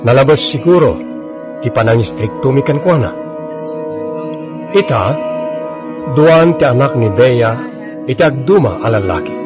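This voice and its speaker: A man's voice: mid-range voice, animated, a little slow, pauses often, over music, natural voice. A man playing an earnest, troubled, strict middle-aged father in an emotional radio drama. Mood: regretful. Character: troubled, pleading strict father of a wayward daughter.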